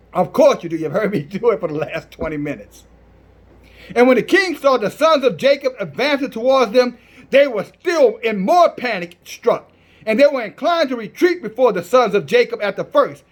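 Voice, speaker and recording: Male preacher, strong and declamatory, pitched extremely high with lively swings, forceful and defiant in scripture commentary. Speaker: Hebrew Israelite preacher. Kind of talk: fiery sermon with scripture reading and commentary.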